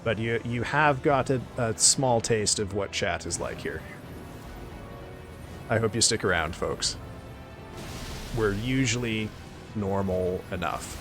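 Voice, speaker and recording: A man chatting casually on a live stream, excitable and thanking viewers, his voice getting hoarse. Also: on the low side, slow pace, pauses often, over music. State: losing his voice.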